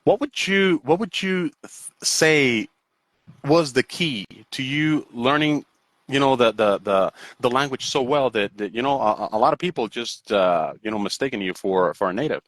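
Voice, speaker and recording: Halting, wordy middle-aged man, dry close sound. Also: mid-range voice, animated, a bit bright, no echo. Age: 40s. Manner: halting and wordy, often repeating words and checking with "right?". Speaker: English teacher hosting a live stream. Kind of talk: casual interview chat. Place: indoors on an online video call.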